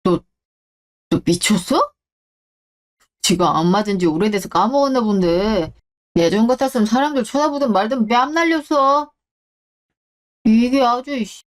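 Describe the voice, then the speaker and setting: Woman putting on a smug, shameless ex-husband in middle age, deeper than her own voice, talking down and blaming others. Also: animated, a little slow, pauses often. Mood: threatening. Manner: sneering, entitled, blaming. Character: arrogant, shameless middle-aged male ex-husband. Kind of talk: Korean radio drama reading.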